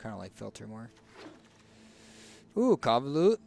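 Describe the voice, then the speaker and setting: A man with a raspy, hoarse voice casually commenting on a card game as he picks cards, thinking aloud with fillers and restarts. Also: medium pitch, very animated, unhurried, darkish tone, faint music behind. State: hoarse, tickly throat. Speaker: streamer.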